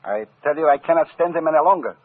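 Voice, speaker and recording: Husky, excitable violinist, old radio. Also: male voice, on the high side, quick pace. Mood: indignant. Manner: excitable, sputtering, repetitive. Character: indignant, nervous male violinist, proud and cowardly. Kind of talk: 1940s radio drama acting. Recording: scratchy, tinny old radio broadcast.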